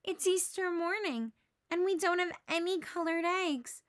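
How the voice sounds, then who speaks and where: A woman's voice: very high voice, unhurried, no room sound, studio quality, pitched above the performer's own voice. A woman voicing an exasperated, dramatic tomboy schoolgirl in a storybook, emphatic and plaintive. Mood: glum.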